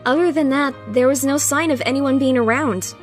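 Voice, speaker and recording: A woman acts out a royal cartoon pony, a worried wife with a high, earnest voice. She is gracious and pleading, with soft music behind her. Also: normal speed, natural voice. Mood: polite, concerned. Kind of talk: fan audio drama acting.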